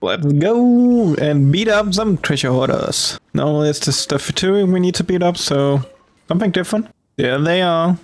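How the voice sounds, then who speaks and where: A man's voice: high-pitched, normal speed. A man giving casual, offhand commentary while playing through a video game. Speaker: gaming streamer.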